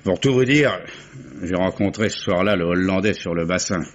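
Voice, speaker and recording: An actor playing a gruff, guarded old oyster fisherman in a 1950s radio drama, talking in a folksy, grumbling way. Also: deep voice, normal speed, natural voice. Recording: muffled old radio recording with faint hiss.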